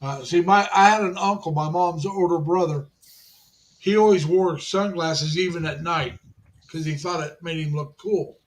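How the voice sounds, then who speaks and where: A chatty man runs his own livestream, welcoming guests and passing along viewer comments. His voice sits fairly high for a man, and he talks in loose, easygoing bursts. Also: normal speed. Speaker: livestream host. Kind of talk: casual group chat on a livestream.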